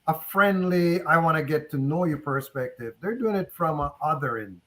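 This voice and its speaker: A man's voice: fairly high pitch, animated, normal speed, a bit bright. Jamaican man sharing candid stories. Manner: candid, anecdotal, conversational. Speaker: panelist. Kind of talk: casual panel discussion. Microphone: video call.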